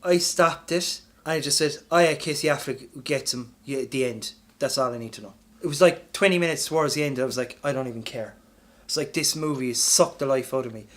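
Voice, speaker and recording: A man's voice: medium pitch, fairly quick, a bit bright. An Irish man chatting casually as a podcast co-host, blunt and sweary, telling anecdotes. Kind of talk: casual chat, film review.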